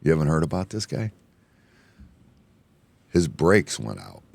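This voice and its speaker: A hoarse man hosting a casual bar-talk show, rambling and excitable, often repeating himself. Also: very deep voice, measured pace, no echo. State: hoarse from yelling. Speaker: podcast host. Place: indoor room, bar setting.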